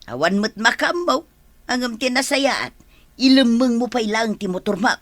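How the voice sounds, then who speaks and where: A nagging, gossipy village woman played by an actress with an Ilocano accent. Her fairly high voice swings animatedly in a radio comedy drama. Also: animated, normal speed, a bit bright.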